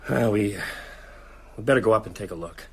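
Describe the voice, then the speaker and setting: A man's voice: on the low side, a little fast, a little mellow, breathy edge. An actor playing a sceptical, concerned friend in an audio drama, stammering with disbelief as he tries to reason. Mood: rattled, reasoning. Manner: hesitant, stammering, incredulous.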